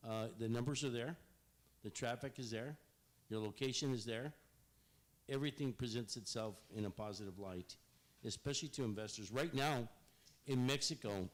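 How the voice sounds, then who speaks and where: Man, 50s, loud, lowish voice, rambling, lots of restarts, board meeting presenter. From Rio Grande Valley, Texas. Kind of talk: public comment speech. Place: city board meeting room.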